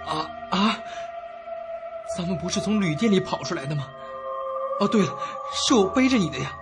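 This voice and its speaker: High-pitched, panicky man acted in a suspense drama. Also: lively intonation, moderate pace. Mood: anxious, confused. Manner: breathless, dramatic, swinging from hushed to panicked. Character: terrified young man narrating his own horror ordeal. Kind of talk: Chinese suspense radio drama acting and first-person narration. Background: dramatic background music.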